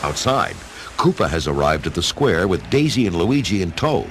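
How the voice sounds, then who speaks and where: A man narrating an audio drama from a script, with expressive, dramatic storytelling delivery. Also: sits low, moderate pace, over loud music.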